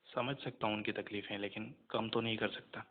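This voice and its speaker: Young adult male, subdued and hesitant, low register, very even delivery, muffled call audio. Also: brisk. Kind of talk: casual phone conversation. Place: phone call. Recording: muffled phone call recording.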